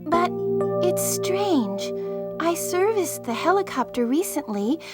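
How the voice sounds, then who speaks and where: A young female rookie medic in an old video game cutscene, earnest and apologetic. Her voice sits very high, and loud background music plays under the dialogue. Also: big pitch swings, slow pace, exaggerated voice. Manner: apologetic, eager.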